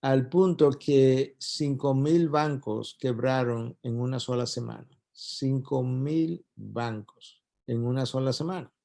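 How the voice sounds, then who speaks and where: A man's voice: medium pitch, slow, gravelly, dark tone. A man with a Puerto Rican Spanish accent giving an emphatic church talk, building his points through repetition. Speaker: speaker at a church event. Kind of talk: sermon-style talk to doctors.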